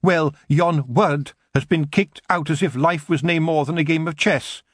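Man playing a small fierce fairy clan mother, Scottish accent, blunt, high and loud. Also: a little slow, no room sound, clean studio sound. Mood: gruff. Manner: blunt, gruff, dialect-heavy. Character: tiny fierce female fairy clan matriarch.

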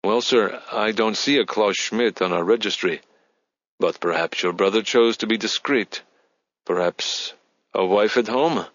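A man voicing a smooth, courteous but wary Spanish male boss of an escort agency, with formal salesman's phrasing. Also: fairly low pitch, unhurried, fairly bright tone, dull audio. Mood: smooth, discreet.

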